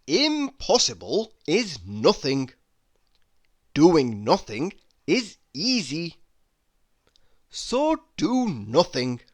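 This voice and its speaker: A man reading humorous quotes aloud in a deliberate, mock-inspirational manner. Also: fairly high pitch, wildly swooping pitch. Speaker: reader.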